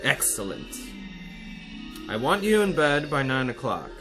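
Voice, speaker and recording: An actor playing a vain, scheming hypnotist therapist in a radio mystery, with a deliberate, soothing yet commanding manner. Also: male voice, mid-range voice, big pitch swings, over music. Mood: commanding, sinister. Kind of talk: radio mystery drama acting.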